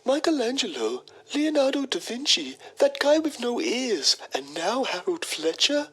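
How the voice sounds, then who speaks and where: A woman's voice: fairly high pitch, sing-song, a little slow, fairly bright tone, husky, exaggerated voice, rough recording. A woman playing a pretentious, self-admiring male journalist, narrating a mock documentary in a theatrical manner. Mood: pompous musing. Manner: pompous, theatrical.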